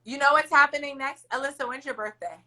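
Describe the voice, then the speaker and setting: A woman with a raspy, bright, forward voice hosts a casual podcast chat. She speaks loudly and with emphasis, pitched a little high, and keeps the talk upbeat and encouraging. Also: fast talker. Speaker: podcast host.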